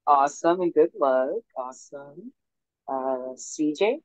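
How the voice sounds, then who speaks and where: A woman's voice: low-pitched, expressive, slow, dry recording, low-quality recording. A woman moderating a singles show, calling on guests and posing questions to the group. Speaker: host of a singles dating show. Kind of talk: upbeat group video-call moderating, reading questions.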